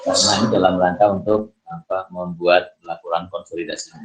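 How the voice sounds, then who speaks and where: A man with a deep, low voice works through a lesson like a lecturer. He thinks aloud informally, going step by step, and keeps putting questions to himself that he then answers. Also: level tone, brisk, soft background music, rough recording. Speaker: accounting lecturer. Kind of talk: informal worked-solution tutorial, thinking aloud.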